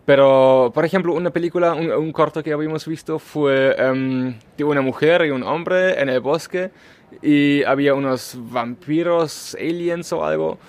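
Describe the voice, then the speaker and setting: A man's voice: on the high side, moderate pace. A man with a German accent, native German speaker, co-hosting a podcast in casual chat, thinking aloud with many fillers and restarts. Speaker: podcast co-host.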